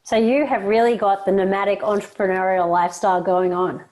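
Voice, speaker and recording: A woman's voice: mid-range voice, fairly even intonation, brisk, a little mellow, rough recording. A woman hosting an interview podcast, presenting and putting questions to a guest. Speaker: podcast host. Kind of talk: scripted introduction and interview questions.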